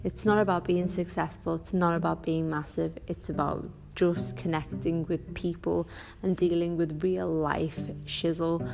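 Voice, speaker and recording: A woman with a Scouse accent hosting a casual solo podcast, rambling and chatty with many ums and quick self-corrections. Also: on the low side, little pitch movement, creaky, over music. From Northwest England. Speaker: podcast host.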